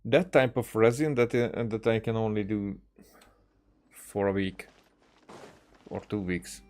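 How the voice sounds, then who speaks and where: Slow, low-voiced man streaming a game. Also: darkish tone. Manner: hesitant, thinking aloud. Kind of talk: casual live gameplay commentary.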